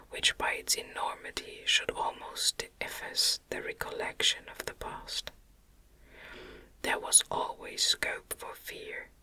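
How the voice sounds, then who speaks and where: Whispering woman, slow ASMR novel reading. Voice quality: whispered, breathy, unvoiced. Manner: slow, gentle, soothing delivery. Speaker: audiobook reader.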